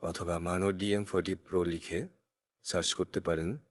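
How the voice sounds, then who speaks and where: Deep-voiced man giving a software tutorial. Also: flat delivery, moderate pace, no echo, clean studio sound. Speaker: tech tutorial presenter. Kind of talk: step-by-step software tutorial narration. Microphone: close USB condenser microphone.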